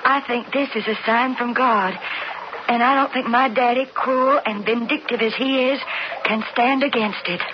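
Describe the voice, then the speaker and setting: Woman acting a tender, high-voiced frontier sweetheart. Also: fairly even intonation, moderate pace, natural voice. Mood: tender, hushed. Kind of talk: 1970s radio drama western acting. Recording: noisy muffled old radio broadcast.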